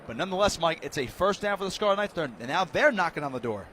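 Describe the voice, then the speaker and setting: An American man doing live radio play-by-play of a football game in excitable, clipped running descriptions. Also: on the high side, fast talker. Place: press box high above a stadium. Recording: loud stadium noise, outdoor broadcast.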